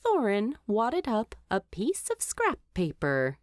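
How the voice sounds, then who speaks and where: A woman reading scripted fiction aloud as a storyteller, expressively and with deliberate dramatic emphasis. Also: a little high, huge pitch swings, dry recording, studio quality. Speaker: fan fiction narrator.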